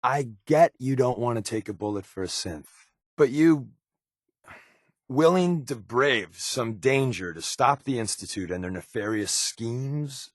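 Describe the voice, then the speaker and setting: A man's voice: medium pitch, lively intonation, measured pace, dry recording. A man playing a wry, sarcastic spy for a secret resistance in a video game, glib and joking with dry asides. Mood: wry, cajoling. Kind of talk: video game character dialogue.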